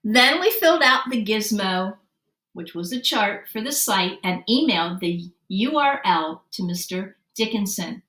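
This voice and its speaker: A woman reading a children's story aloud, narrating expressively and deliberately and acting out the dialogue. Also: medium pitch. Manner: animated, deliberate, acts out dialogue. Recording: roomy, distant microphone.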